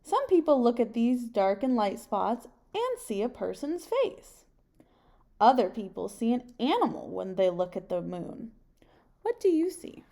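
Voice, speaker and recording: Young woman, storytime teacher read-aloud, unhurried and slightly high-pitched, phrasing carefully for children. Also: sing-song, dark tone. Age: young adult. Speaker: teacher reading a picture book aloud. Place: home kitchen, indoors.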